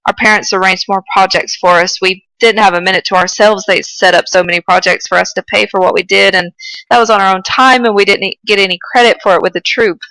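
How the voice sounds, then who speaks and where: A woman's voice: mid-range voice, fairly even intonation, quick pace, bright, forceful tone, no room sound, rough recording. A woman reading fan fiction as an earnest, ashamed adult male woodchuck who speaks apologetically. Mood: apologetic. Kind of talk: fan fiction read aloud.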